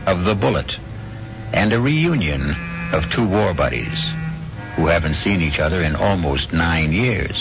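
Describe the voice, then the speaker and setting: A man's voice: low-pitched, animated, unhurried. An American man narrating a 1970s radio mystery as its host, in a grave, theatrical manner. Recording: muffled vintage radio recording, loud music.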